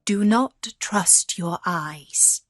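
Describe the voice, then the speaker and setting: A woman voicing a gentle, lovelorn young prince in a storybook, speaking slowly and pleadingly. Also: lowish voice, no echo, clean studio sound, faint music behind. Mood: sad, pleading. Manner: slow, tender, pleading.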